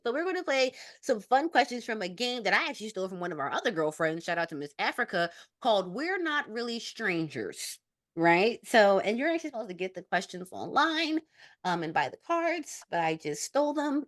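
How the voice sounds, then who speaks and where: A young woman hosting a podcast in casual chat with friends, with a bubbly, excitable manner. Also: mid-range voice, a little fast. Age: young adult. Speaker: podcast host.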